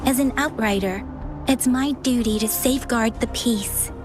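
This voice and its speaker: A woman voicing a gentle young ranger. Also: a little high, moderate pace, natural voice. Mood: sincere. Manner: gentle, sincere. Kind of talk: video game cutscene voice acting. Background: game music.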